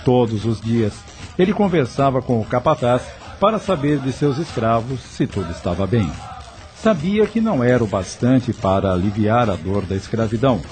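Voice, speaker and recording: A man's voice: medium pitch, sing-song, moderate pace, a little mellow. A man narrating a scripted radio drama in a dramatic, literary storytelling manner. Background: background music.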